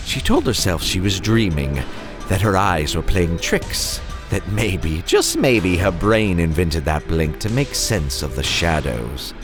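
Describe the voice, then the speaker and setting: A man hosting and narrating a horror story in a theatrical, suspenseful style, with playful asides and dramatic pauses. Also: deep voice, big pitch swings, over music.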